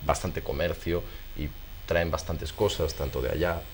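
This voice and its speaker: A man's voice: extremely low pitch, level tone, moderate pace, crowd noise. A man with a Spanish accent working as an interviewer, chatting casually as he guides the conversation.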